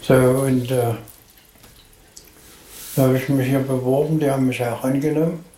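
An elderly man from Saxony haltingly telling his life story in an interview. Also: medium pitch, flat delivery, mellow. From Grimma, Saxony, Germany. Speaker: interviewee, former factory director. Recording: echoey room, faint noise.

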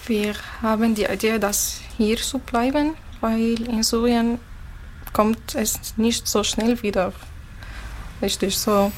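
Woman, calm and matter-of-fact. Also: fairly high pitch, takes it slowly, a little hiss or hum, rough recording. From Syria. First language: Arabic. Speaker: interviewee, musician. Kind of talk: interview answers.

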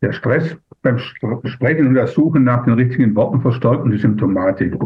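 A man interviewing a guest, asking calm and friendly questions. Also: mid-range voice, a little fast, breathy edge. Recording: phone-like, thin.

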